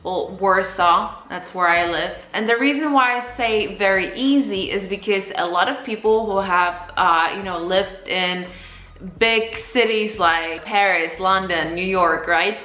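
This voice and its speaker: A woman's voice: medium pitch, measured pace, pushed and bright. A woman, talking casually and explaining things as a vlogger. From Poland, grew up partly in Copenhagen. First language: Polish. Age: 20s. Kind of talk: casual vlog talk to camera.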